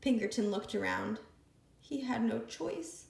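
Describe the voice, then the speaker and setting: A woman reading a picture book aloud with expressive, lively storytelling. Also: a little high, a little slow, creaky. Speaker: storybook reader. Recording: echoey room.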